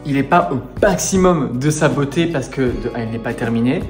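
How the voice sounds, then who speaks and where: A man's voice: mid-range voice, moderate pace, faint music behind. A man casually talking to camera as he walks through an apartment he is renovating, explaining as he goes. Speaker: renovation vlogger. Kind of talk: casual walkthrough talk to camera. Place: apartment indoors.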